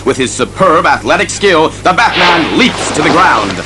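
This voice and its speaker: A man's voice: on the high side, sing-song, normal speed. A man narrating a superhero audio drama in a theatrical, suspenseful scripted style. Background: dramatic music.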